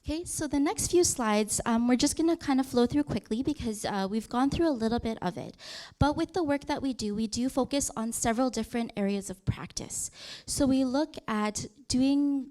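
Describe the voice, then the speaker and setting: A woman's voice: high voice, moderate pace, mellow. A woman in her thirties presenting on a youth program, talking through slides in a conversational way with frequent fillers and restarts.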